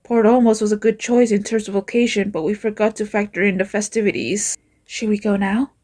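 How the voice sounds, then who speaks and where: A woman's voice: medium pitch, little pitch movement, brisk, a little mellow. A woman acting out scripted fantasy game dialogue as an amateur voice actor. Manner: acted, reading scripted lines.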